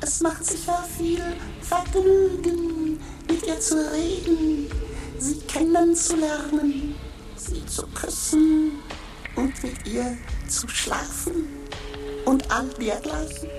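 A slow, musing talking stone with a very high, steady voice. The radio play has a roomy studio sound with music running underneath. Every word comes out unhurried and deliberate. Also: female voice. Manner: measured, musing. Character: talking stone, new to the world, thoughtful. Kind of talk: radio drama acting.

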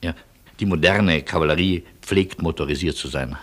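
An actor in a German radio play playing a courteous, wry refugee man, husky, speaking in polite, ironic and wordy turns. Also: sits low, normal speed, a bit bright. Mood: politely ironic.

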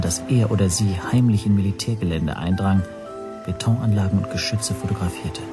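A man playing an anxious language teacher who narrates his ordeal in a radio drama, measured and introspective. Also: on the low side, close to an everyday voice.

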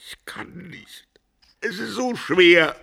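Radio drama: grieving drinker husband, rough gravelly voice, very expressive, halting, slipping toward whispers. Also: male voice, sits high, heavily acted. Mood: anguished, reluctant. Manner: halting, anguished, dropping into breathy near-whispers. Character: distraught, hard-drinking husband questioned over his wife's murder. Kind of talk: German radio drama acting.

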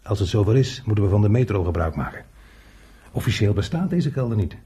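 A man acting an earthy, talkative, hot-tempered working man in a radio play, crude and emphatic, with a husky voice. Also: on the low side, expressive, brisk, dark tone, slight background noise, lightly acted. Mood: wry storytelling.

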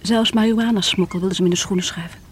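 A distraught, lovelorn woman played by an actress in a vintage radio play, on a noisy recording. Her mid-pitched voice often drops to a breathy hush as she confesses with theatrical bitterness. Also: steady tone, very fast, natural voice. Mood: recounting sadly. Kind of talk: radio drama monologue.

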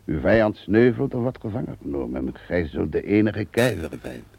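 A deferential, soothing counselor who reassures his master. His voice is low, gravelly and husky. It comes close and dry, with the dull sound of an old radio play. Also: animated, normal speed, darkish tone, no room sound, full character voice. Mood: reassuring. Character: loyal older male tutor, soothing counselor. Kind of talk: radio drama acting.

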